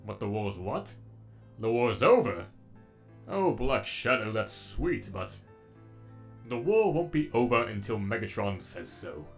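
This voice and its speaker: A man's voice: on the low side, big pitch swings, measured pace, gravelly, faint music behind, full character voice. A man voicing a sadistic, erudite robot villain with an eloquent, menacingly polite delivery, as in a comic dub. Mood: silky, menacing.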